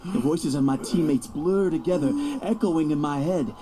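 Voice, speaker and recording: A man's voice: on the high side, normal speed, crowd noise, close to an everyday voice. An actor playing a young star quarterback, cocky yet anxious, narrating his own story in an audio drama. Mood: panicked.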